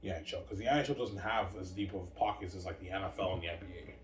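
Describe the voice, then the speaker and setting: A man's voice: low-pitched, rapid-fire, far from the mic. A man talking to himself in a rambling solo monologue, repeating words and filling gaps with "like" and "man". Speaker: solo vlogger. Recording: echoey room, voice off-mic with faint background noise.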